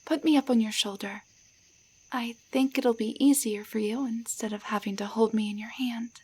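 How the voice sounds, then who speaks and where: Soft breathy, hesitant doll girl acted by a woman. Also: on the high side, steady tone, low-quality recording. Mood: hesitant, trusting. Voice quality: soft, breathy, often near-whisper. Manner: hesitant, halting, stammering. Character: shy, grateful young tavern waitress turned into tiny doll. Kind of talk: roleplay audio drama, intimate one-sided dialogue.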